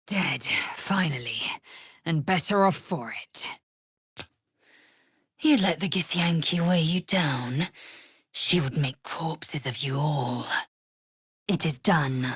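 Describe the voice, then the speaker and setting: A woman voicing a fierce, proud alien warrior in a video game, speaking with a husky rasp in a haughty, clipped, commanding and formal manner. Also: on the low side, dry recording, clean studio sound, full character voice. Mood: intense, grave. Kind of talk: video game character voice acting.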